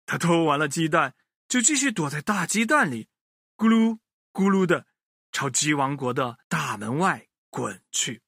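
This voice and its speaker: Animated male children's storyteller, lively pitch. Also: a little slow, gravelly, slightly rough, no room sound, studio quality. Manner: expressive, addressing child listeners directly.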